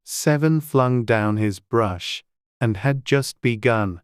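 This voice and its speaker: A smooth-voiced narrator reads a classic storybook at an unhurried, slow pace. The delivery is measured and scripted, and the recording is close and dry. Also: medium pitch, dry recording. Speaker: audiobook narrator.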